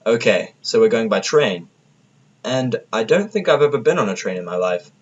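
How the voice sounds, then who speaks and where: A man's voice: on the low side, big pitch swings, normal speed, husky, highs cut off. Man as an easygoing, casual female pony. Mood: casual, improvising. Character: easygoing female pony, friendly, improvising plans.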